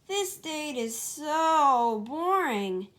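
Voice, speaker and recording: A woman voicing an eager, lovestruck, stubborn girl hedgehog in an amateur comic dub storybook reading, excitable and emphatic. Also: high-pitched, extremely slow, pitched above the performer's own voice, heavily acted. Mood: bored, puzzled.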